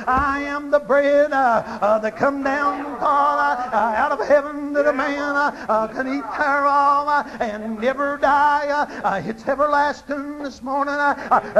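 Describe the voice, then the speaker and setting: A man preaches in an old-time, chanted rhythm. His voice sits very high, and he breaks every phrase with a steady "uh" as the sermon rolls on. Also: dull audio.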